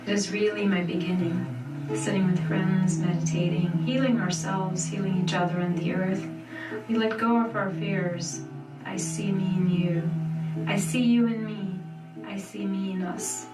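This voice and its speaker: Woman narrating under loud guitar music. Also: medium pitch, fairly even intonation, a little slow, echoey room, far from the mic, rough recording. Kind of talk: reflective scripted narration. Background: loud plucked guitar music.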